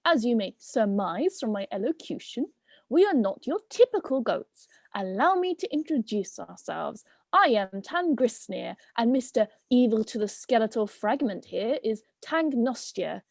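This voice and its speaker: Slow, high, haughty talking goat, played by a woman. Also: sing-song, vocal fry, no room sound, clean studio sound, full character voice. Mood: courtly, pompous. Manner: pompous, elaborate vocabulary. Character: haughty, eloquent talking goat with a sharp tongue. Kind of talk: amateur dramatic play reading.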